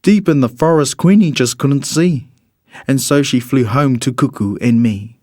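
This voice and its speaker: A man reads a rhyming children's storybook in an expressive, measured storytelling manner. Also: medium pitch, no echo, studio quality. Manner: expressive, measured storytelling in the character of a bird narrator. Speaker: storybook reader.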